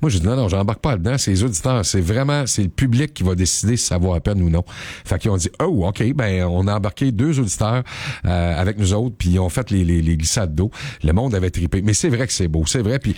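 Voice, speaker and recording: A man's voice: low voice, lively intonation, fairly quick, dark tone, no room sound, studio quality. A man hosting a morning radio show, chatting casually and joking, his voice jumping up on questions.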